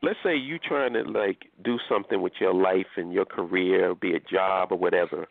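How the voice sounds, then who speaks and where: A man's voice: low voice, normal speed, creaky. A man from Nigeria hosting a casual group discussion, talking in an emphatic, blunt way with some cursing. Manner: emphatic, profane, animated. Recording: tinny phone-like line.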